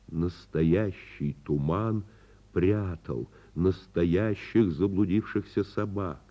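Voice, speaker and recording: A man with a husky voice narrates a children's fairy tale as a radio play, theatrically and unhurriedly, drawing out words. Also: deep voice. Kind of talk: expressive radio storytelling for children. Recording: muffled lo-fi radio recording. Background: music.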